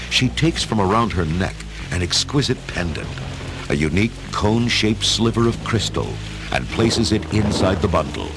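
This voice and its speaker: A man giving dramatic, measured scripted narration for an audio story, in a theatrical storytelling manner. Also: deep voice, poor audio. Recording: music under the narration. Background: music.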